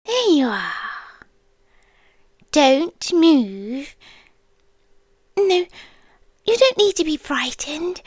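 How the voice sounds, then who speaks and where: A British woman playing an otherworldly, possessive stalker girlfriend in an ASMR roleplay, soft and breathy, often whispering, intimate and eerily tender. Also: high voice, no echo, studio quality, exaggerated voice. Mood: quietly menacing. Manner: slow, deliberate, intimate, teasing.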